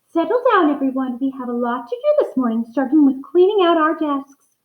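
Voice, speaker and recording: Kindly storybook schoolteacher, played by a woman reader, cheerful yet firm, slightly high, echoey room. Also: sing-song, fairly quick, narrow, tinny audio.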